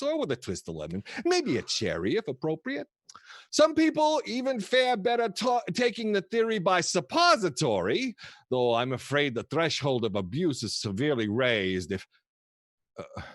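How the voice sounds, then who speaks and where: A man's voice: high voice, dry recording, clean studio sound. An actor playing a kooky, affectionate old grandfather who rambles and mutters theatrically in a comic play. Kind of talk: comic stage monologue and dialogue.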